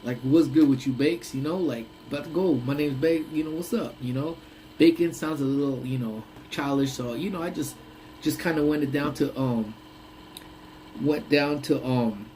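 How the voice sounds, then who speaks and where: A man's voice: mid-range voice, moderate pace, dark tone, slight background noise, low-quality recording. A young man hosting a casual lunch-break live stream, rambling and laughing often, with a voice that sounds congested. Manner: rambling, laughs often, trails off mid-thought.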